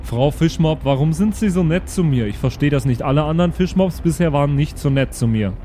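A man voicing a naive, blunt, childlike oddball with a deadpan, literal-minded way of talking in an improvised audio play. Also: a little high, brisk, a little hiss or hum, natural voice. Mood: naively curious.

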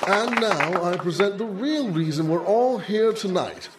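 A man plays a pompous museum chairman addressing a gala in a radio play. His high voice moves slowly and grandly, echoing from some distance off the mic. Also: lively intonation, a loud crowd, very noisy. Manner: grandiose, ceremonial speechifying. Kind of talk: old-time radio drama acting.

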